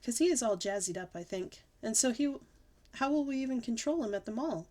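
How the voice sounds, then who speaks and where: A woman voicing a bossy, excitable little girl in an audiobook, with loud, wheedling kid chatter. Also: on the high side, fairly quick, pitched above the performer's own voice, close to an everyday voice. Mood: pleading. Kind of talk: audiobook character voice.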